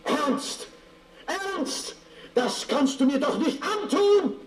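A man's voice: extremely high pitch, lively intonation, very slow, heavily acted. An actor playing a flustered, theatrical male circus ringmaster in a radio play, nervously imploring a restless audience. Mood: desperate begging. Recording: roomy, distant, loud crowd behind.

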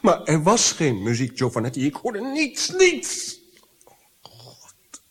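An actor playing an anguished, visionary prince and composer in a radio drama, halting and intense. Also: male voice, a little high, wildly swooping pitch, a bit bright, breathy edge, full character voice. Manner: halting, intense, dropping to whispers.

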